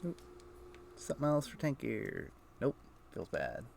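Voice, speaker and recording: Guy streaming a game, gravelly creaky voice, short offhand reactions to chat, some noise behind him. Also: medium pitch, very animated, a little slow, a little mellow. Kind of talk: casual live game commentary to chat.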